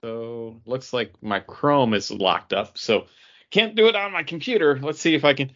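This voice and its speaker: A man with a mid-pitched, bright and forceful voice talks casually as a podcast co-host. He rambles with enthusiasm through a comic book review. The recording is a little dull and lo-fi. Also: normal speed.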